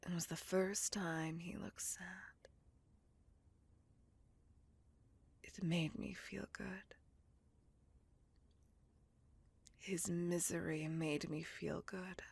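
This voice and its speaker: A woman playing a seductive, possessive, coldly vengeful vampire in a slow, intimate, breathy whispered ASMR roleplay. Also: lowish voice, no room sound, full character voice. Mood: cold, gloating. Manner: slow, intimate, deliberate, savouring words.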